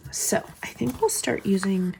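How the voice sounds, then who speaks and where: A woman's voice: medium pitch, big pitch swings, measured pace, gravelly, noisy background. A woman with a slight cold and a stuffy voice, chatting casually as she plans, thinking aloud and often dropping to a whisper. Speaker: planner vlogger. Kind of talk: casual craft chat while planning.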